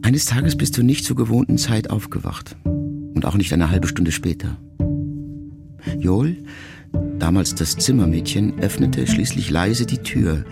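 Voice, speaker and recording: Low, gravelly, reflective grown son. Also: male voice, animated, measured pace, long pauses, loud music behind the voice. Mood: reflective, pained. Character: reflective grown son addressing his mother, sombre and tender. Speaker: radio play actor. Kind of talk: intimate literary radio play monologue.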